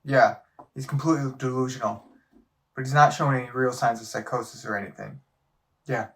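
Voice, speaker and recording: A man's voice: medium pitch, fairly quick, fairly bright tone, close to an everyday voice. A man playing a concerned, frustrated doctor in an audio drama, speaking in an earnest, pressing way.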